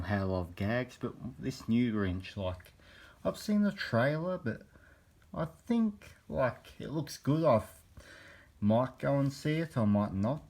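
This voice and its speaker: Man, 20s, very low voice, croaky with a cold, hesitant casual vlog, phone camera. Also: a little mellow. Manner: hesitant, meandering, many pauses. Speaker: vlogger. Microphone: handheld phone camera.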